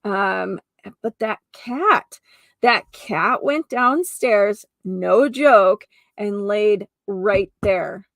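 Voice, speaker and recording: Creaky-voiced woman podcaster, close and dry. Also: mid-range voice, extremely slow, a bit bright, no room sound, dull audio. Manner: conversational, emphatic, addresses listener directly. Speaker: podcast host. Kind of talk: casual solo podcast talk with anecdotes.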